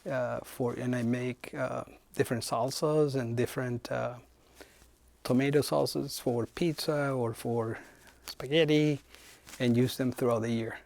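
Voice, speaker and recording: A man's voice: mid-range voice, fairly even intonation, measured pace, gravelly, darkish tone, no echo. Man with a dry, close sound. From Chile. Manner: thoughtful, frequent hesitations. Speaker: head of human resources. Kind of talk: interview answers.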